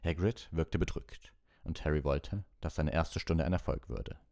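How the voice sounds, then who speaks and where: A man with a remarkably deep voice reads a storybook aloud. It is scripted audiobook narration, recorded close and dry. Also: normal speed, gravelly, mellow, no room sound. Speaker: audiobook reader.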